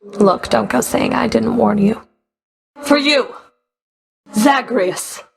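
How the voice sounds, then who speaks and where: A woman's voice: fairly high pitch, slow, creaky, heavily acted. A woman playing a stern, sardonic underworld Fury in a video game, cool, taunting, curt and commanding. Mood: combat barks. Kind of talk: video game character voice lines.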